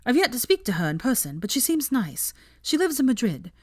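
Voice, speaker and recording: Lady reading as a young woman writing a letter, higher and lively, a bit bitter, dry close sound. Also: fairly quick, no echo. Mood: rueful. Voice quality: raised, lively, animated delivery.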